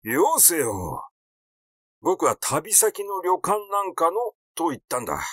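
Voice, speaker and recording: A man's voice: on the high side, very animated, slow, a bit bright, no room sound, clean studio sound, pitched above the performer's own voice, exaggerated voice. A man voicing a flustered, easily irritated male doctor in a story reading, hesitant, rambling and grumbling.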